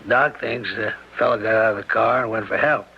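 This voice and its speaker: An actor playing a folksy, plain-spoken small-town sheriff who quietly probes, in a radio mystery drama. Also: male voice, fairly low pitch, fairly even intonation, close to an everyday voice. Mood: casually probing. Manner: plain-spoken, rural phrasing, deliberate. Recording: dull lo-fi radio recording with hiss.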